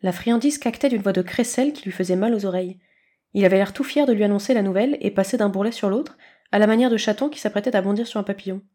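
A woman reading a scripted story aloud as an expressive narrator. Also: medium pitch, fast talker. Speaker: podfic reader.

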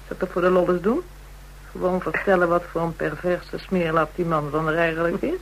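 A woman's voice: on the low side, steady tone, brisk, a little hiss or hum, lightly acted, low-quality recording. An actress playing a coarse, brazen, spiteful call girl in a radio drama, talking in crude, taunting slang. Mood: scornful, gleeful.

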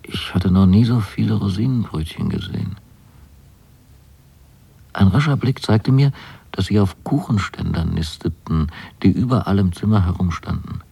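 An actor playing a naive, wryly fastidious son in a radio drama, telling of his new life in measured, precise, deliberate phrases. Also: low-pitched, gravelly, warm tone, breathy edge, no echo, heavily acted. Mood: wry, fastidious wonder. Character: young man writing letters to his mother, wryly fastidious and naive. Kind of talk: literary radio drama monologue.

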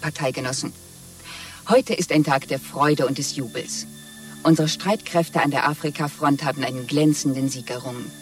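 A man's voice: on the high side, normal speed, natural voice. Guy acting a triumphant state propaganda announcer in an old radio play, declaiming, a bit far from the mic, music behind. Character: jubilant male propaganda announcer of a totalitarian state. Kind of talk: bulletin announcement in a 1970s German radio play.

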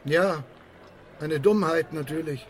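An actor playing an evasive, fatalistic man with a guilty past, defensive and halting in radio drama style. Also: on the high side, slight background noise, poor audio. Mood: stubborn. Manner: defensive, halting under pressure.

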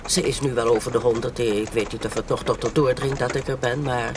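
A woman's voice: a bass voice, fairly even intonation, brisk. Radio drama role: elderly self-righteous mother, emphatic storyteller, noisy recording. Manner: emphatic, repeats for effect. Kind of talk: Dutch radio drama acting.

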